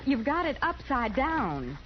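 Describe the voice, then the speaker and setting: Casting: prim, bossy frontier lady, high swooping voice, insistent, noisy old radio Western. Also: sing-song, slow pace, heavily acted. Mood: fussy. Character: fussy, demanding lady stagecoach passenger. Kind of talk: 1950s radio Western acting. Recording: noisy, dull vintage radio recording.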